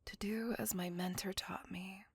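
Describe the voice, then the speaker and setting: A woman voicing a gentle, remorseful immortal vampire in an ASMR roleplay, speaking tenderly and intimately in a breathy whisper. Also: mid-range voice, steady tone, dry recording. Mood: remorseful, confessing. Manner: slow, tender, earnest confiding.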